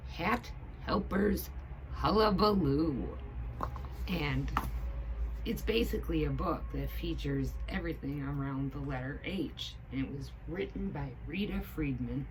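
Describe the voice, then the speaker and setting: A woman reading a children's storybook aloud expressively, with emphatic pauses between phrases. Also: low voice, lively intonation, background noise. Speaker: teaching assistant reading to children.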